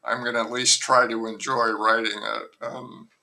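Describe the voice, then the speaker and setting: A novelist talking in a relaxed interview, hesitant and rambling, with many fillers and restarts. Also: male voice, medium pitch, moderate pace, creaky, low-quality recording. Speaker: novelist guest. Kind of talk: casual interview conversation.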